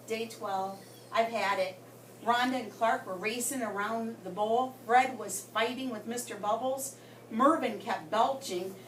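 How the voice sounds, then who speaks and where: A woman reading a story aloud to children in an expressive way, with dramatic pauses and emphasis. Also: on the high side. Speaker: guest story reader for children. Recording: roomy, distant mic with background noise.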